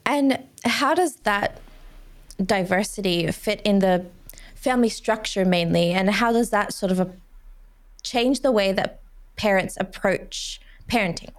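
A woman hosts a podcast in a relaxed, chatty way, stringing her thoughts together in long run-on sentences. Her voice sits at an ordinary middle pitch, and she keeps her questions conversational. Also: a little slow. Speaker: podcast host.

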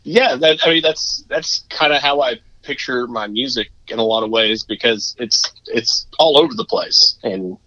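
Man, low voice, bright tense tone, dull muffled recording, casual rambling talk. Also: moderate pace, hardly any pauses, no echo. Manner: self-deprecating, rambling with restarts. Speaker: musician guest. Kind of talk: casual interview chat.

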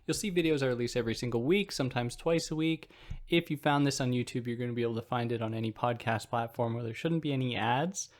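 A man hosting a philosophy podcast, explaining a text in a relaxed, conversational way and thinking aloud with fillers and restarts. He speaks in a soothing voice. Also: mid-range voice, fast talker. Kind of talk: casual explanatory lecture.

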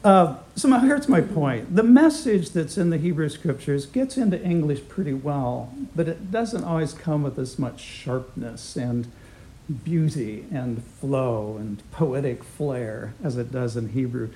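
A man's voice: on the high side, lively intonation, measured pace, poor audio. A middle-aged man teaching a Bible class, opening with a prayer and then lecturing in a conversational way, chuckling now and then. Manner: warm, conversational, chuckles often, with fillers.

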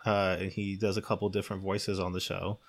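A man's voice: low voice, level tone, fairly quick. A man hosting a podcast, talking casually as he gives recommendations. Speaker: podcast host.

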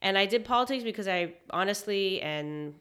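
A young woman from Hong Kong, a chef, talking reflectively in a casual interview with many pauses and fillers. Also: medium pitch, lively intonation, fairly bright tone. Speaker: guest, chef.

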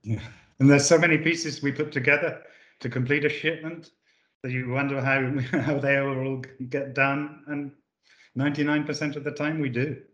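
A man's voice: medium pitch, flat delivery, moderate pace, fairly bright tone, echoey room, dull audio. A man from the UK, a managing director answering interview questions with many fillers and restarts. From United Kingdom. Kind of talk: corporate podcast interview.

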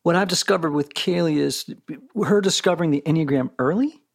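Gravelly-voiced man co-host. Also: a little high, brisk. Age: middle-aged. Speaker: podcast co-host. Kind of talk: casual interview conversation.

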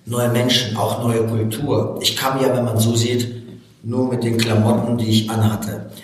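A man's voice: mid-range voice, a little hiss or hum. A man in his forties from Baku, a native Russian speaker, earnestly telling his personal story as a motivational coach in an interview, with frequent hesitations. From Baku, Azerbaijan. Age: 40s. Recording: very echoey room, distant from mic.

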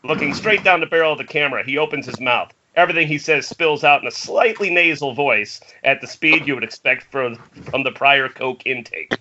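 A man's voice: medium pitch, a little fast, bright, forceful tone. A man reading screenplay stage directions aloud as narrator of a table read. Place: at home on a group internet call. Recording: muffled, noisy internet call with clicks.

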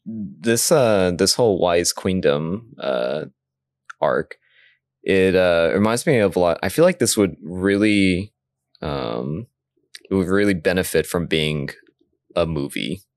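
A man with an extremely deep voice talks casually as a podcast co-host. He feels his way through his thoughts a little hesitantly. The sound is dry and close. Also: lively intonation, slow, a little mellow, dry recording. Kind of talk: casual discussion.

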